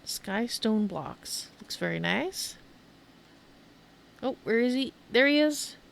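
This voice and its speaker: A woman's voice: on the high side, expressive, slow pace, creaky, a little hiss or hum. A woman streamer giving casual video game commentary, rambling and thinking aloud as she goes.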